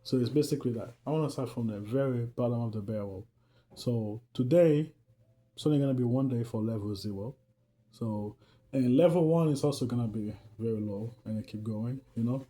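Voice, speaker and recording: A man's voice: mid-range voice, brisk, warm tone. A man from Haiti with a Haitian accent, talking casually and earnestly to his viewers about faith and growth, with frequent laughs. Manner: earnest, loose and chatty, laughs often. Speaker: faith vlogger. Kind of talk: casual talk to camera.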